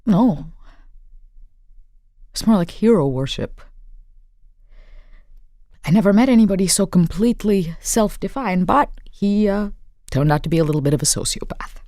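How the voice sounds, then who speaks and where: A woman's voice: medium pitch, darkish tone, no echo, clean studio sound, lightly acted. An actress playing a guarded, disenchanted young woman writer in an audio drama, with a blunt, sardonic manner. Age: young adult. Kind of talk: naturalistic audio drama acting.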